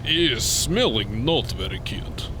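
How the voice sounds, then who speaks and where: Lively, wry foreign-sounding crewman. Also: mid-range voice, a little slow, background noise. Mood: wry, puzzled. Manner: clipped grammar, dropped articles, dry. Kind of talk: sci-fi audio drama acting.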